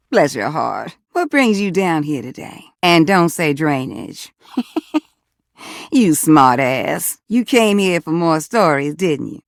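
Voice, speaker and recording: A woman's voice: on the low side, gravelly, no echo, studio quality, exaggerated voice. A woman voicing a flirty, teasing, gossipy household appliance in a video game, with a Southern US accent and sweet-talking manner. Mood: teasing, warm. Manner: sweet-talking, teasing, drawling terms of endearment. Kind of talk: video game character voice acting.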